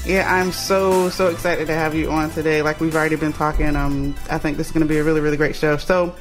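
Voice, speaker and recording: A man's voice: a little high, flat delivery, fast talker, soft background music. A man hosting a marketing podcast, upbeat and peppered with filler ums and uhs. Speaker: podcast host.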